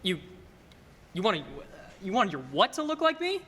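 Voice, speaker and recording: A young man, a high school actor, playing an earnest, restless small-town family man who stammers in a 1940s-style radio play. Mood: downcast, self-doubting. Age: young adult. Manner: stammering, halting, excitable. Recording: hall reverb, noisy live stage recording.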